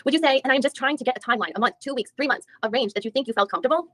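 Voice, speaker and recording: A woman reads a dogged female attorney working through a deposition. She fires off methodical questions at a very quick clip, often restarting mid-sentence. The sound is close and dry. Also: high-pitched, fairly bright tone, no echo. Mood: probing. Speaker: reader in a deposition reenactment. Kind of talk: read-aloud legal reenactment.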